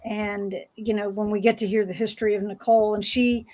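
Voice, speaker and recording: Halting woman moderating a fan discussion. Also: medium pitch, level tone, warm tone. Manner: halting, rambling, conversational. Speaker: panel moderator. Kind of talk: fan panel discussion, posing questions to panelists.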